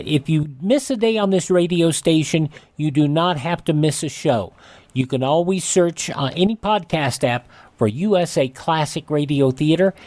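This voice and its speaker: A man's voice: fairly high pitch, darkish tone, dry recording. An American man hosting a radio show, giving a chatty sign-off with plugs.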